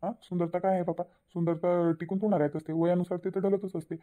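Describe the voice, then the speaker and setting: A man voicing a middle-aged village father in a cartoon, quick and plain-spoken with a lilt. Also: high-pitched, level tone, warm tone, natural voice. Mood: calm. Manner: rapid, plain-spoken, repeats himself. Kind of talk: cartoon voice acting. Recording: tinny phone-like sound.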